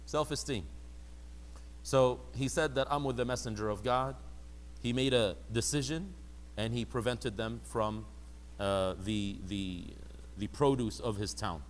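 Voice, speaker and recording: A man's voice: medium pitch, unhurried, a little hiss or hum. A man giving a conversational religious lecture, sometimes acting out quoted people in a mocking voice. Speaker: religious teacher.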